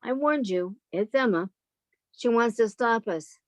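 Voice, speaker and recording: A woman's voice: fairly high pitch, animated, unhurried, dry recording. A woman playing an earnest, love-struck and defiant sister in her thirties, in an old-time radio melodrama style. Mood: anxious.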